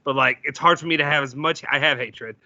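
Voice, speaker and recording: A man hosting a casual sports podcast, loud-voiced and rambling, with lots of fillers and restarts. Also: a little high, fairly even intonation, fairly quick, gravelly, no room sound, dull audio. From United States. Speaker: podcast host.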